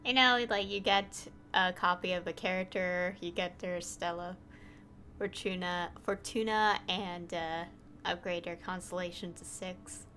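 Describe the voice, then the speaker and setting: A woman's voice: fairly low pitch, fairly even intonation, unhurried, sharp tone. A woman streamer giving casual, rambling commentary while she plays a game. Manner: chatty, rambling, hesitant fillers.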